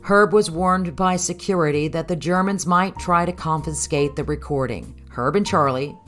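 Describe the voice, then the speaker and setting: A woman with a low voice narrates a historical documentary from a script. Faint music plays behind her. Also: normal speed, a bit bright.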